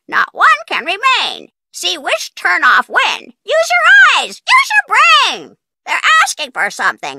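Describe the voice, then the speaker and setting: A tiny, chirpy riddling creature from a video game, extremely high and piercingly bright. It recites rhymed hints in a sing-song with exaggerated emphasis, swooping wildly up and down. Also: female voice, no echo, full character voice.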